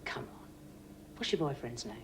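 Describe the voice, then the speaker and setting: A woman's voice: rumbling low, sing-song, moderate pace, fairly bright tone, slight background noise, exaggerated voice. An actress playing a stern female investigator who presses a suspect with threats. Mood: pressing. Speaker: security officer questioning a suspect. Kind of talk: 1980s TV drama acting.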